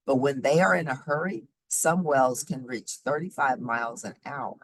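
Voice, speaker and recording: Expressive woman reading aloud, swooping pitch. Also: no echo, poor audio. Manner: expressive, emphatic, teacherly explaining to children. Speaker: read-aloud host. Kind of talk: children's nonfiction book read-aloud with short asides.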